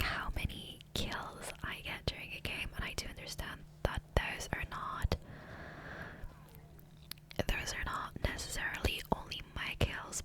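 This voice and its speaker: A woman whispering softly and breathily in a casual, rambling ASMR style, gentle and unhurried, close to the microphone. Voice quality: soft breathy unvoiced whisper. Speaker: ASMR gaming content creator and host. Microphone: close USB condenser microphone.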